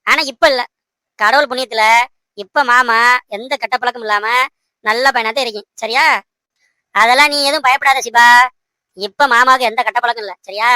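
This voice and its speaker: A woman's voice: fairly high pitch, sharp tone, clean tone, no room sound, low-quality recording. A woman voicing an earnest, protective, hot-headed young fiancé in a cartoon, emphatic and insistent. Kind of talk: amateur cartoon dialogue in colloquial speech.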